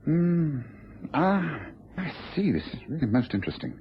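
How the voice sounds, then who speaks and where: An actor playing a shrewd, self-assured English detective in a British radio drama, speaking briskly, precisely and knowingly. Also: male voice, fairly high pitch, big pitch swings, breathy edge, exaggerated voice. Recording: dull old radio recording, faint noise.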